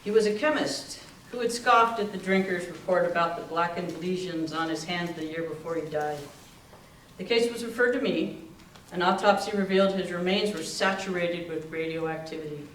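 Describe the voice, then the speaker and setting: A man's voice: high voice, normal speed, a bit bright. Man acting methodical medical examiner, sober, readers theater, echoey, far from mic. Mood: grave, factual. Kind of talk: readers theater narration in character. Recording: echoey room, far mic, faint noise.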